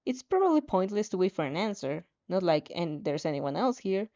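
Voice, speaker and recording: A woman reading a story aloud in an expressive, dramatic way, with playful casual asides and the odd stumble over a line. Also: fairly low pitch, brisk. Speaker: fan fiction reader reacting as she reads. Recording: dull, slightly muffled lo-fi audio.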